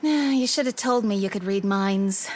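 Female actor as husky-voiced detective's secretary, sardonic, quick with quips, mid-pitched, noir radio drama. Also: lightly acted. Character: wisecracking, sassy female secretary to a private eye. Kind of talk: scripted noir audio drama acting.

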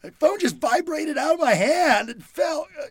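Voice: high-pitched, animated, normal speed, dry recording. A performer playing a frightened, flustered captive of robots in old-time radio horror style, stammering and pleading. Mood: nervous, flustered.

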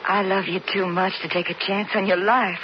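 Woman acting young rancher's daughter, sad and tender, American Western drawl, radio drama, muffled sound, clear background noise. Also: medium pitch, level tone, moderate pace, lightly acted. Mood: tender, sorrowful. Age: young adult. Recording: muffled, noisy 1970s radio broadcast.

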